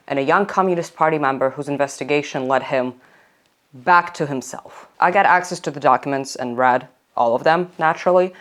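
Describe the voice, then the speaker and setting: Wry young speaker. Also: medium pitch. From Saint Petersburg, Russia. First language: Russian. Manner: chatty and wry, with casual slang. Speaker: history video essayist. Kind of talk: scripted video essay narration.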